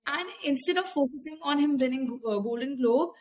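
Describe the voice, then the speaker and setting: A woman's voice: high-pitched, fairly quick. A young woman with an Indian accent, co-hosting a casual podcast, talking hesitantly with many fillers. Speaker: podcast co-host.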